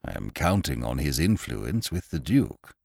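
Man doing a very deep, creaky, gloomy old gentleman. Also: sing-song, normal speed, no room sound, studio quality, exaggerated voice. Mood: gloomy, worried. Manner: moaning, ponderous. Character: gloomy, slow, worried elderly English gentleman.

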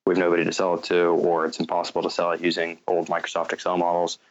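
A low-voiced American man speaks as an interview guest. His delivery stays very even and measured, with hesitant restarts as he explains things. The sound is dull and a bit lo-fi, like a call. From North Carolina, United States. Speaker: guest, fintech company founder. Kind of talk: interview answers, explaining business.

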